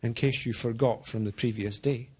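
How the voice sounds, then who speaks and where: A man with an accent that is part Scottish, part American, speaks at a middling pitch. He presents technical material informally, as a program manager would to a small group. His delivery is deliberate and a little halting, and he invites interaction. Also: fairly even intonation, a little mellow, husky. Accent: Scottish-American mix. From Scotland.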